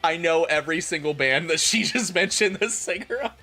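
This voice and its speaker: Guy streamer reading out a story theatrically, bright punchy voice, faint music behind. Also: fairly high pitch, fairly quick. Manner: theatrical, expressive. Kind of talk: comedic dramatic reading.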